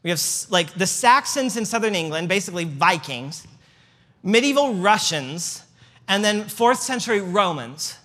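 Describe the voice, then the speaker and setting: A man with a Midwestern accent lectures to a room in a loose, joking way, full of asides and fillers. His voice is bright and forward. A long hall reverb trails each phrase. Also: high-pitched, lively intonation, normal speed. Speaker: church history speaker. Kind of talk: lecture with slides.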